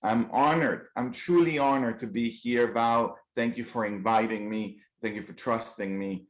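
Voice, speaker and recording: A man with a Colombian Spanish accent delivers an earnest motivational keynote. He often restarts his sentences and fills pauses with "um" and "uh". His voice comes through a video call with a roomy echo. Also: mid-range voice, steady tone. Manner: earnest, frequent restarts and fillers. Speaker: keynote speaker.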